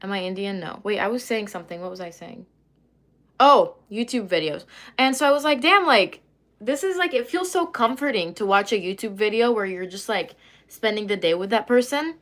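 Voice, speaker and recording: Woman streamer, relaxed and rambling, chats with viewers while doing her makeup at home. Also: medium pitch, background noise. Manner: chatty, rambling, many fillers and asides. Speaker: livestreamer answering chat. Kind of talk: casual livestream chat while doing makeup.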